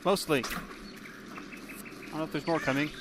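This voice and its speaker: A man's voice: a little high, steady tone, slow, a bit bright, a little hiss or hum. A man from Canada doing casual, wry, reactive commentary on a video game. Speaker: gaming video host. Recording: game sound bleeding in behind commentary.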